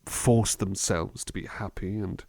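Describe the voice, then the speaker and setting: Man playing a low, creaky, soft-spoken butler. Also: steady tone, no room sound. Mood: thoughtful, reassuring. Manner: hesitant, thoughtful, musing, unhurried. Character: gentle, reflective male butler reading poetry to his charge. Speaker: ASMR roleplay performer. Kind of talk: soft-spoken ASMR roleplay, musing and reciting.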